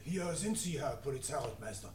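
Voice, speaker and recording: An actor playing an obedient, slow-witted police clerk in a period radio drama, answering meekly and dutifully. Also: male voice, medium pitch, animated, heavy background noise, close to an everyday voice. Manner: meek, short dutiful replies.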